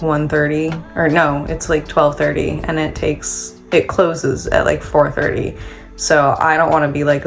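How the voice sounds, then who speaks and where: A woman's voice: deep voice, gravelly. A woman vlogging in a casual, rambling way, stuffy and congested, with lots of fillers as she chats to the camera. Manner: rambling and chatty, many fillers. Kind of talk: casual travel vlog chat. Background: loud background music.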